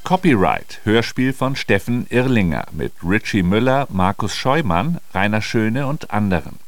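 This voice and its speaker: A man's voice: on the low side, very animated, unhurried, dry recording. A man announcing radio drama credits in a scripted style.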